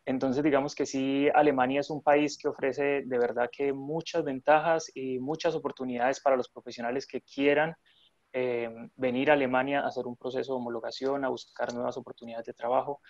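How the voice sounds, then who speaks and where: A man's voice: medium pitch, fairly quick, no room sound. Colombian man talking over a dull call. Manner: relaxed, many fillers and restarts. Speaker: nurse interviewee. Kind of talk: remote interview chat.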